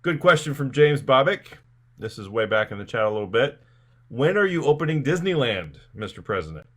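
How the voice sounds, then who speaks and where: A man's voice: mid-range voice, sing-song, a little fast, a bit bright. A man hosting a casual comic interview, playing along and relaying questions from viewers in the chat. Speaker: live-stream show host.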